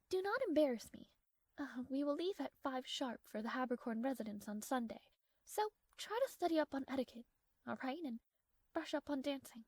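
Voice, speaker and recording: A woman's voice: high voice, darkish tone, no room sound. A woman playing a proud, strong-willed young princess in a romantic fantasy audio roleplay, speaking formally with haughty, flustered hesitations. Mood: bossy, formal.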